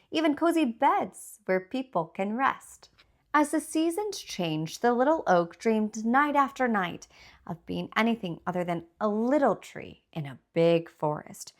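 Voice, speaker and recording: A woman reading a children's storybook aloud as its author, with deliberate, expressive scripted narration. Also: mid-range voice.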